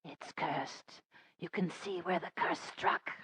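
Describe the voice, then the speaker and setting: An actress playing an eerie local woman speaking of a curse in a horror audio drama, her voice breathy and husky. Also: on the low side, takes it slowly, fairly bright tone, full character voice. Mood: ominous. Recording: long cavernous reverb under music.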